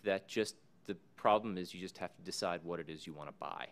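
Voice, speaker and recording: A man in his forties giving measured, thoughtful panel remarks from a podium in a conference room, pausing with ums. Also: deep voice, a little hiss or hum. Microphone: podium microphone.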